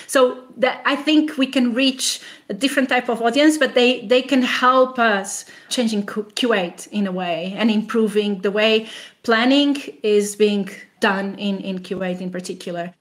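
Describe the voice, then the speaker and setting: A woman's voice: a little high, measured pace. Conversational woman researcher explaining her work. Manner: explanatory, often punctuated with "you know" and rhetorical questions. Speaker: academic researcher being interviewed.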